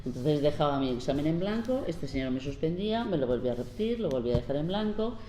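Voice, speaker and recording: A woman in her fifties from La Mancha gives an earnest, unhurried public talk as a panel speaker, pausing often as she explains. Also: low-pitched, animated, dark tone. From La Mancha, Spain. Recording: roomy hall with faint background noise.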